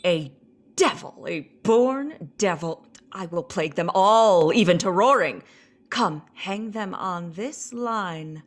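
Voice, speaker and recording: A woman playing a weary but commanding old magician, speaking in measured declamation in a Shakespearean radio play. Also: mid-range voice, expressive. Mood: vengeful.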